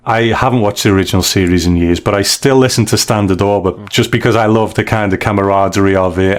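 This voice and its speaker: Low-voiced man hosting a podcast. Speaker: podcast host. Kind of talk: relaxed conversational podcast hosting.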